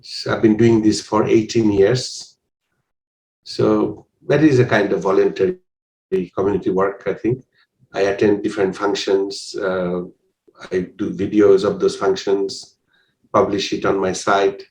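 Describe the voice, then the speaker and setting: Man in his sixties from Bangladesh; low voice, measured and hesitant delivery, echoey recording. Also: little pitch movement, darkish tone. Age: 60s. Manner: measured, hesitant with frequent uhs. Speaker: interviewee. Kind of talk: casual interview answers. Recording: roomy echo.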